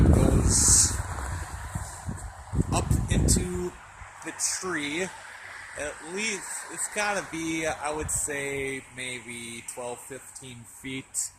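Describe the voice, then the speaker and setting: A man giving a casual, unscripted show-and-tell as a vlogger outdoors, speaking in a halting way as he thinks aloud. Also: medium pitch. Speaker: hobbyist vlogger. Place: outdoors in a yard in winter. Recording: outdoor camera audio with faint background noise.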